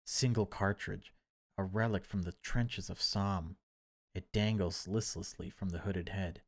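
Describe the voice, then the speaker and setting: A man reading horror game text aloud as dramatic narration, in deliberate, broken phrases. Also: low-pitched, fairly even intonation, no echo. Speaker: gaming video creator reading a visual novel aloud.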